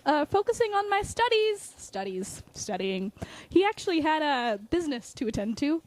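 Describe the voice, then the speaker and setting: High, animated, excitable young student woman actress. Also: moderate pace. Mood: flustered cheer. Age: young adult. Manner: animated, stumbles over excuses. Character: excitable, flustered young woman student, sarcastic among friends. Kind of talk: audio drama acting.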